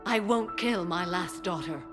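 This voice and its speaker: A woman performing as a dutiful warrior mother from a video game, bound by a strict code. Her low voice is grave and measured, with formal phrasing. Music plays clearly behind her. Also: close to an everyday voice. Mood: sorrowful resolve. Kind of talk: dramatic video game acting.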